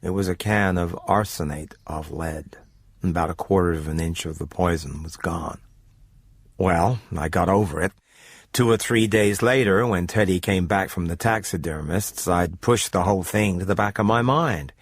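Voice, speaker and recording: A man narrating a first-person radio drama story in a literate, measured storytelling manner. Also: low voice, no room sound.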